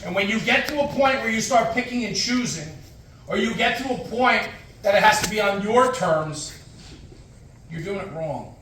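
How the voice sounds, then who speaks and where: A man's voice: high voice, animated, normal speed, a bit bright. A man giving a motivational conference talk as a guest speaker, emphatic, in short punchy phrases with rhetorical questions and repetition. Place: echoey indoor room, speaking to an audience. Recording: echoey room, distant mic, faint noise.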